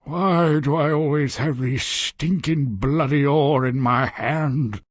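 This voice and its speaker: A man playing a surly, foul-mouthed old brute with a rasping, gravelly voice in a video game. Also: on the high side, slow, dry recording, clean studio sound. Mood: sour, sardonic. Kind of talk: theatrical video game cartoon acting.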